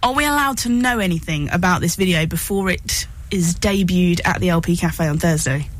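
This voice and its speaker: A woman's voice: fairly low pitch, moderate pace, no echo. A woman hosting a radio show, interviewing guests casually in a studio. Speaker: radio host.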